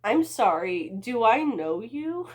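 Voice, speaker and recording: A woman's voice: on the high side, sing-song, slow pace, darkish tone, voice pushed up, full character voice. A woman reading the part of a wary, defiant eighteen-year-old street thief in a dramatic storybook manner.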